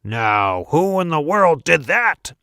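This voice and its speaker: A man voicing a gruff, puzzled night watchman who exclaims emphatically in a children's storybook. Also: fairly high pitch, big pitch swings, slow pace, sharp tone, no room sound, clean studio sound, voice pushed up, heavily acted. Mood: puzzled, loud. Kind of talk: children's storybook read-aloud.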